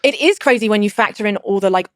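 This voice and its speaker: A woman's voice: medium pitch, fast talker, dry recording, clean studio sound. A British woman, a guest commentator from Britain, answering interview questions in a hesitant way, with fillers and restarts.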